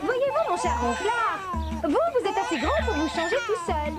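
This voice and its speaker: A harried cartoon mother, high-voiced and swooping in pitch. She is sarcastic and exasperated, over loud music. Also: sing-song, moderate pace. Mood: brisk, motherly.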